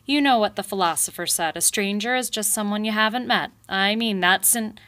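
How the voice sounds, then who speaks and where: A woman's voice: medium pitch, fairly quick, fairly bright tone, voice pushed up, natural voice. Woman doing a blustering bossy beaver chief. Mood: boastful smooth. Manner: bellowing slogans. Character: blustering male beaver leader, bossy and boastful.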